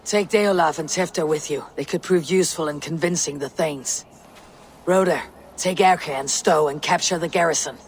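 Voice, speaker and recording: An actor playing a fierce, vengeful Viking warrior leader in a video game cutscene, commanding and grave, with a gravelly voice. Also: male voice, on the high side, normal speed, a little hiss or hum. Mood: resolute, commanding.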